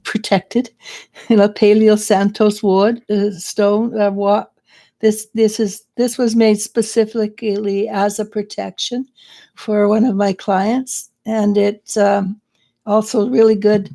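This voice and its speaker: A middle-aged woman psychic, losing her voice and clearing her throat, giving rambling spiritual advice on a live stream. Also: mid-range voice, level tone, slow, mellow. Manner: rambling, restarting sentences. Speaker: psychic reader and show host.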